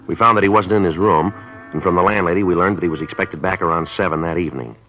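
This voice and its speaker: A man voicing a terse police sergeant in an old radio crime drama, reporting times and facts in a clipped manner. His voice is very deep and the delivery is quick. The recording is dull and lo-fi, with faint music behind it. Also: close to an everyday voice. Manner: clipped, flat, matter-of-fact. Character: terse male police sergeant narrating, middle-aged. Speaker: detective narrator. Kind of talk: 1950s radio drama narration.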